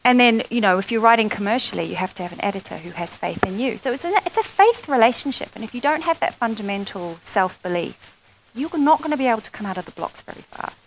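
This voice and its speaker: A woman's voice: on the high side, animated, quick pace, slight background noise, poor audio. A woman speaking on a festival panel, thoughtful and often restarting her sentences. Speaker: panelist, columnist. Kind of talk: casual panel discussion. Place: festival stage.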